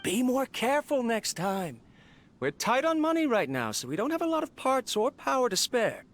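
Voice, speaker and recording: An actor playing a lonely male researcher of mechanical life, talking wistfully and with quiet self-mockery to his robot. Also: very high-pitched, big pitch swings, normal speed, heavy background noise, full character voice. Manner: wistful, quietly self-mocking, gently scolding. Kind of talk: game voice acting, recorded log.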